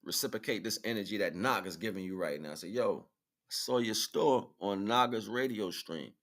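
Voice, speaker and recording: A man with a deep voice hosts a casual livestream. He speaks informally and in slang, often ending his thoughts with a tag question to the listener. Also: normal speed, fairly bright tone. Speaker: livestream host.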